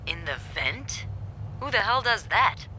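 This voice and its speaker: A woman's voice: mid-range voice, very animated, measured pace, pushed and bright, slight background noise. A woman playing a teasing, gossipy young hotel receptionist in a video game, with a sardonic, playful, breezy manner. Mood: teasing, nosy. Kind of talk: naturalistic video game voice acting.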